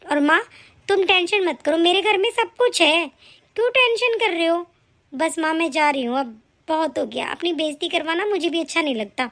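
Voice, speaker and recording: A woman voicing a gentle, conciliatory young married sister in a family skit. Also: very high voice, lively intonation, moderate pace, full character voice. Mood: hurt. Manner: soft, conciliatory.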